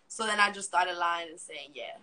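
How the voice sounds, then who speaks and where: A woman's voice: fairly low pitch, moderate pace, low-quality recording. A young woman with a New York accent, Spanish as her first language, chatting animatedly as a guest and acting out quotes. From Miami, Florida. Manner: animated, swearing, acts out quotes. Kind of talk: casual interview chat.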